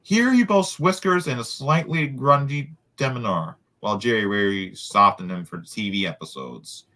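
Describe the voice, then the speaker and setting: A man reads aloud in a bright voice with lively swings in pitch. He drops in casual asides, and now and then trips over a word and says it again. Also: normal speed. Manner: stumbles over words and repeats them. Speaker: host of a group read-aloud.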